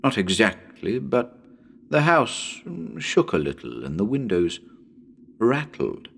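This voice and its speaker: A nervous male ghost hunter, acted by a man in a radio horror tale, talking to his listeners as his nerve frays. He speaks very slowly and haltingly, muttering and repeating himself, with highly animated swings in a fairly low voice. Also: vocal fry, a little hiss or hum, heavily acted. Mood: jittery, anxious. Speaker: narrator reading a horror story. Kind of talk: dramatic reading as a live radio broadcast.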